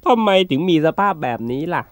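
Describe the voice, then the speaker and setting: A man voicing a brave, wily turtle with a confident, teasing manner in a children's fable. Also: sits high. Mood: gloating, teasing. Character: brave, wily, unflappable turtle who outsmarts bullies. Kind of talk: children's animal fable character acting.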